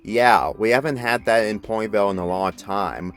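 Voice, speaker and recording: A man voicing a sensible young female pony in a story reading, level-headed and gently reproving. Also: lowish voice, moderate pace, faint music behind, lightly acted. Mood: approving.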